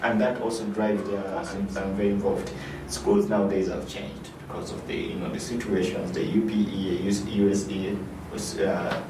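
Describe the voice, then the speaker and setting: Hesitant low-voiced man in an echoey room. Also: flat delivery, moderate pace, few pauses. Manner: hesitant, many fillers and restarts. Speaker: director of an arts foundation. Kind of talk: interview answers. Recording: echoey room, mic far off, noisy.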